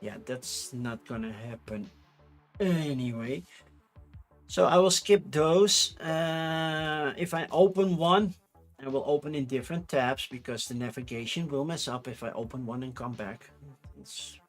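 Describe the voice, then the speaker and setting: A man's voice: medium pitch, measured pace, faint music behind. A man with a Dutch accent who speaks English as a second language, chatting casually on a livestream, rambling with fillers and restarts. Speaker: streamer.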